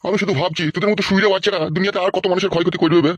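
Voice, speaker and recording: A man's voice: high voice, flat delivery, rapid-fire, no echo. A man voicing a bullying, scheming local politician in a comic cartoon, scolding and interrogating coarsely. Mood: angry, interrogating. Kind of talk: comic cartoon dialogue in colloquial rural Bengali.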